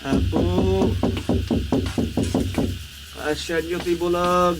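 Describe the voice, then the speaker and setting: A man playing a humble, grateful blind beggar in a radio drama, pleading deferentially and haltingly. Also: high voice, little pitch movement, over music, poor audio.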